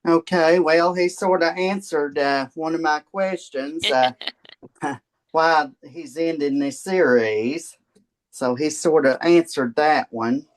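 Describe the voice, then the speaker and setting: A man's voice: a little high, slow pace. A man asking interview questions in a casual, conversational style.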